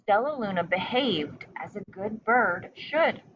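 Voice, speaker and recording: A woman narrates a children's story in a read-aloud style, at a middle pitch. The recording is slightly dull, with faint noise behind her. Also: expressive, takes it slowly, distant mic. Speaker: storybook reader.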